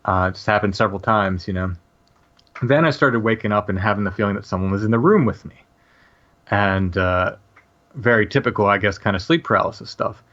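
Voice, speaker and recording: A man in his late forties, a podcast guest, telling a personal story casually in a halting way with many restarts and fillers. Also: lowish voice, fairly bright tone, highs cut off.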